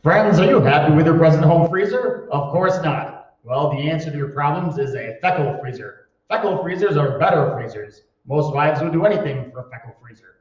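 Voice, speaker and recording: An actor playing a pushy commercial announcer giving an old-time radio sales pitch. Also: male voice, expressive, brisk, lightly acted. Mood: insistent salesmanship. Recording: echoey hall, distant mic, muffled.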